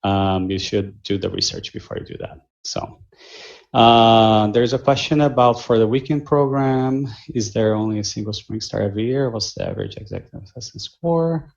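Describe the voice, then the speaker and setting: Low gravelly man with a Brazilian accent. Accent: Brazilian Portuguese. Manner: measured, with frequent fillers and restarts. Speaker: admissions director. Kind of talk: answering questions in a virtual info session. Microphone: video call. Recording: muffled lo-fi video call audio.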